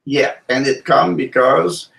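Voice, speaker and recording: Halting man, a bit off-mic. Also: medium pitch, vocal fry, a bit bright, distant mic. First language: French. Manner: halting, searches for words in his second language. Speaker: co-host. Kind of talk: casual panel discussion.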